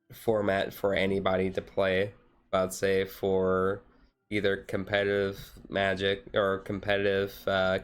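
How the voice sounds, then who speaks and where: A man streamer chatting casually in a relaxed, rambling way on a chill hobby stream. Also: low voice, clear, resonant tone.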